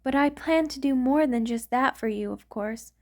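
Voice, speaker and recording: A woman playing an affectionate, refined vampire in an ASMR romantic roleplay, speaking tenderly and a little hesitantly, with gently formal wording. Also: high-pitched, normal speed. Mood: doting, playful. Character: affectionate, refined vampire queen in love with a human.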